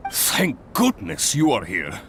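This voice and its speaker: An actor playing an eager, polite, slightly flustered guild organiser in a video game, speaking formally. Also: male voice, medium pitch, slow pace, creaky, slight background noise, heavily acted. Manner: animated, formal.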